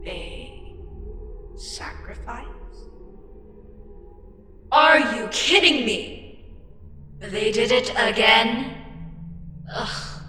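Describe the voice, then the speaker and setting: Slow, echoing eldritch goddess, acted by a woman. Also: fairly high pitch, animated. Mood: menacing, curious, then exasperated, furious. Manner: sardonic, exasperated, theatrical, deliberate pauses. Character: weary, irritable ancient eldritch deity, sardonic yet curious. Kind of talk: ASMR fantasy audio roleplay. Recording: echoing long reverb with loud music. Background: loud music.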